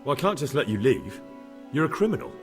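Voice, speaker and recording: A man's voice: mid-range voice, big pitch swings, quick pace, soft background music, lightly acted. A man playing an earnest, stubborn young adventurer in a medieval video game cutscene. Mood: firm, regretful.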